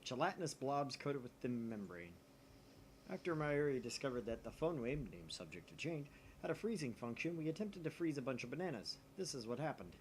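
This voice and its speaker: A man's voice: mid-range voice, brisk, poor audio. A man narrates a visual novel and chats casually as a gaming host. His voice moves up and down a lot, with a joking manner, over faint background noise. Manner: joking, animated asides.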